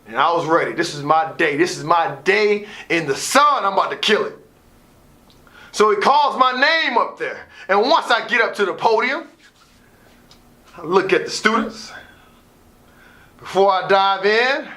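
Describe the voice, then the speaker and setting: Man, American accent, high pitch, bright punchy tone, dramatic storyteller, emphatic repetition. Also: expressive, unhurried, long pauses. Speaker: motivational storyteller and student athlete advisor. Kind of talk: animated personal storytelling with acted-out dialogue and pep talk.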